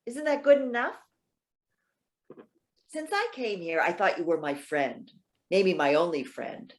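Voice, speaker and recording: A woman playing a lonely, proud elderly lady in a stage reading, halting and questioning with wounded politeness. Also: fairly low pitch, sing-song. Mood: indignant, hurt. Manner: halting, stammering, emphatic questioning. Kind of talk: readers theater stage acting.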